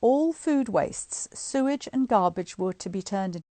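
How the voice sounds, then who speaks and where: A middle-aged woman reading a book aloud to online listeners in an expressive, informal, chatty way. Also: mid-range voice, normal speed, no room sound. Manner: informal, chatty asides between expressive read passages. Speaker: guest reader of a bedtime story series. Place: indoors at home, on a live online stream.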